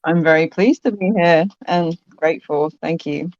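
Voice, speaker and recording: A woman from London, a podcast guest who answers thoughtfully, pausing and restarting phrases. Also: low-pitched, darkish tone, no echo, lo-fi sound. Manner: thoughtful, hesitant, restarts phrases and laughs often. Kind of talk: casual interview conversation.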